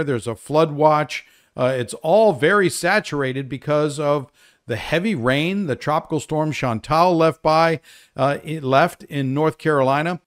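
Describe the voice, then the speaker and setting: A man presenting a live, unscripted weather briefing, explaining things in a conversational way. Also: on the high side.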